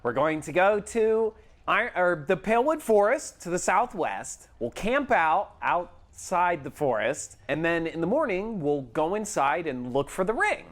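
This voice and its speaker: A man's voice: high voice, big pitch swings, a little slow, fairly bright tone. A man playing a tabletop game, casually laying out plans in character.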